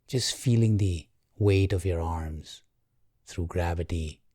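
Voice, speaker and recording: A man guiding a meditation in a calm, gentle, measured way, leaving long pauses. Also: deep voice, dry recording, studio quality. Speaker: meditation guide. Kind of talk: guided meditation, slow and soothing.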